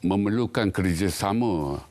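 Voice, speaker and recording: Deep-voiced man, slow and thoughtful. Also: expressive, darkish tone. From Malaysia. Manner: measured, thoughtful, frequent hesitations. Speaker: guest professor. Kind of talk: studio panel discussion.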